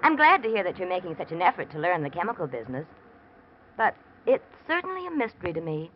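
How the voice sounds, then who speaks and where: A woman's voice: lowish voice, big pitch swings, fast talker. An actress playing a teasing, worldly young American socialite in a classic radio drama, coy and probing in her theatrical delivery. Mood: probing, catty. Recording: muffled, noisy old radio recording.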